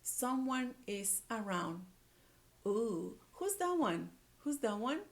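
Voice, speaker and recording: A woman's voice: on the high side, very animated, slow. A woman teaching a read-aloud lesson to young children, repeating words and phrases and coaxing her listeners along with questions. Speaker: early-grade reading teacher.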